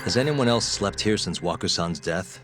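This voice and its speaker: An actor playing a sharp young male private investigator who asks questions in a calm, probing, methodical way, in a game scene with music behind. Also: low voice, moderate pace. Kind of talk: game dub acting.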